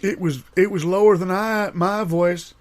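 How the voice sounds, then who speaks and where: A man's voice: sits high, fairly even intonation, normal speed, natural voice. A man playing a forgetful old grandpa, rambling through folksy reminiscences in improvised audio comedy. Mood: rambling, wistful. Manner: meandering, folksy storytelling.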